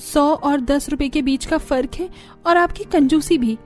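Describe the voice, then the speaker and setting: Woman voicing a very high whiny young daughter. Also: measured pace, a little mellow, soft background music. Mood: irritated. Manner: complaining. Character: whiny, irritated young daughter of stingy family. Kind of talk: Hindi cartoon story voice acting.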